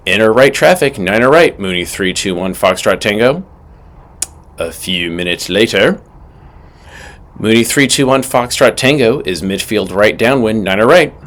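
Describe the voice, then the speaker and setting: Male instructor, lower register, lively intonation, scripted and methodical teaching read with clear emphasis. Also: normal speed. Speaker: aviation instructor. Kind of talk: scripted instructional narration with acted radio call examples.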